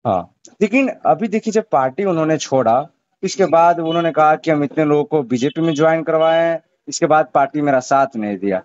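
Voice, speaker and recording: Man, interviewer, somewhat high voice, deferential, persistent questioning, dull phone recording. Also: expressive, a little fast, darkish tone, dry recording. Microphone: phone call. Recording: muffled low-bandwidth phone call recording.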